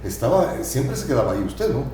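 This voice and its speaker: A man's voice: medium pitch, very animated, brisk, mellow, heavy reverb, a little hiss or hum. A man hosting a TV interview show, chatty and asking frequent tag questions. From El Salvador. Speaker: TV talk show host. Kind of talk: conversational TV interview. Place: TV studio.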